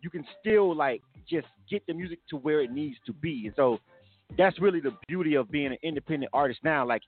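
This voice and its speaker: Fast-talking slangy radio host. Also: male voice, on the high side. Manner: energetic, slangy, repeats himself. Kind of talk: casual hip hop radio interview. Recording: lo-fi narrow sound with music behind.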